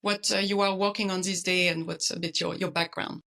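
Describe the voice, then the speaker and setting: A woman hosting an interview podcast over a video call, friendly and quick to laugh. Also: on the low side, steady tone, vocal fry, fairly bright tone. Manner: friendly, laughs often, light fillers.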